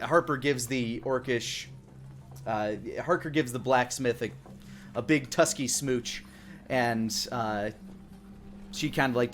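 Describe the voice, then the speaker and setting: A man improvising narration as dungeon master of a tabletop game, piecing descriptions together haltingly. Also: medium pitch, a little hiss or hum.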